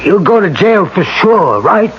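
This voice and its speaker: A man's voice: sits high, sing-song. Anxious, pleading middle-aged father. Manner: pleading, halting. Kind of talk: 1980s radio drama acting. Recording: muffled, noisy old radio broadcast.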